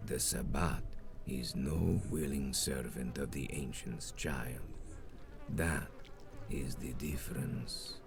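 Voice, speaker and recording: Very deep, menacing vampire zealot. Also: male voice, slow pace, gravelly, noisy background, heavily acted. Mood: solemn conviction. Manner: grandiose, solemn, archaic formal oratory. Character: menacing, zealous male vampire sect leader, ancient and commanding. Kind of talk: dramatic video game villain acting.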